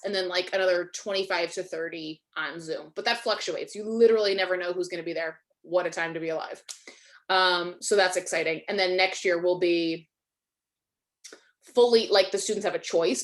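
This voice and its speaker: A woman, hosting a casual video-call webinar, chatty and self-deprecating, with lots of likes. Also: lowish voice. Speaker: webinar host, Spanish teacher.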